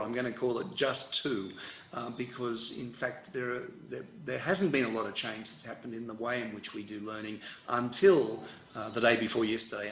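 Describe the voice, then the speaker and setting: Middle-aged Australian man, mid-pitched, casual lecturing professor, echoey room sound. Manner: relaxed, rambling lecture with many fillers and restarts. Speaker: education professor. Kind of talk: online conference presentation.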